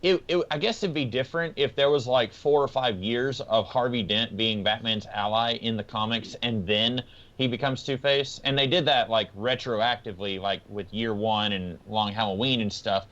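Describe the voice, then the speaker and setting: A slightly muffled, lo-fi recording of a man with a medium-pitched voice. He talks as a podcast panelist in a casual discussion, laying out his reasoning in a conversational, explanatory way. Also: steady tone, moderate pace, fairly bright tone.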